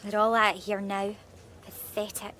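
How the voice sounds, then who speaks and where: A woman's voice: medium pitch, little pitch movement, unhurried, a bit bright, lightly acted. A woman playing a detached, flippant goth girl in her late teens in a radio drama. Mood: detached, scornful. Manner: flippant, enigmatic, understated.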